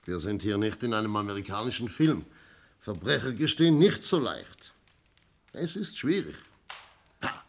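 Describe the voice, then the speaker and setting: A man playing a shrewd, self-assured police inspector in a 1960s radio crime drama, explaining things theatrically with emphatic pauses. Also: on the low side, big pitch swings. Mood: confident, emphatic. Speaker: actor in a radio play.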